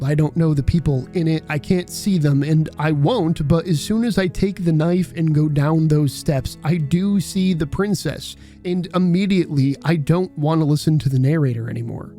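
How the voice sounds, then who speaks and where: Irreverent man with a higher-pitched voice. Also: dark tone. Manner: irreverent, sweary. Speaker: video essayist. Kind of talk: scripted, conversational video essay narration.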